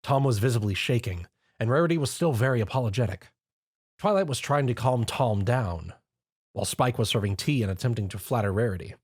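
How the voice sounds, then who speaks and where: A man narrating a fan story in a lively storytelling manner. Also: mid-range voice, brisk, slightly rough. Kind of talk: fan fiction reading.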